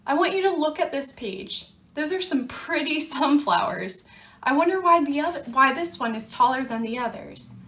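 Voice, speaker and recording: A woman's voice: high-pitched, lively intonation, moderate pace, pushed and bright, reverberant, slight background noise. A woman teacher leading a picture-book read-aloud, speaking simply and instructively and prompting children with questions. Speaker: teacher reading aloud to students.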